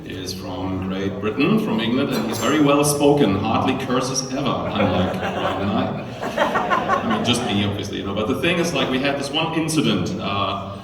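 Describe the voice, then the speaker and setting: A man's voice: lowish voice, animated, moderate pace, far from the mic, very noisy. A man with a German accent, a band's drummer, casually telling a rambling story to a concert crowd. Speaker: band drummer. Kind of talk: onstage storytelling between songs. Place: concert venue. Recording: echoey live hall, mic from afar.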